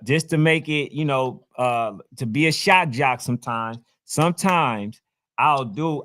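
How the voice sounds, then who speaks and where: A man's voice: medium pitch, fairly bright tone. A man hosting a sports talk show, explaining himself in casual slang and repeating his points. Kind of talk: casual, heated sports chat.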